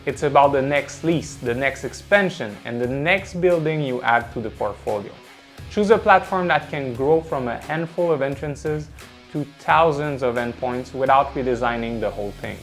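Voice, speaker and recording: A man delivering scripted corporate explainer narration in a measured, instructional way. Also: mid-range voice. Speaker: presenter of a product explainer. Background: background music.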